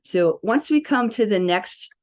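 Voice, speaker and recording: A woman's voice: mid-range voice, lively intonation, measured pace, a little mellow. Chatty woman presenter, dull lo-fi sound. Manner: chatty, enthusiastic, addressing viewers directly. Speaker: presenter demonstrating a health product. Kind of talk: casual product demo.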